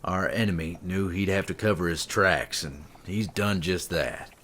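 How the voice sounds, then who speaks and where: An actor playing a grim male companion who reports bad news in a low, subdued way in an audio drama. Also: a little slow, a bit bright, a little hiss or hum.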